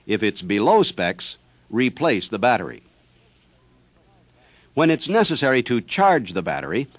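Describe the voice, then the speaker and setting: A man's voice: medium pitch, no echo. American guy narrating an old 70s instructional film, methodical, dry close sound, kinda lo-fi. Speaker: narrator of a service training film. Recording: dull, dry 1970s training film soundtrack.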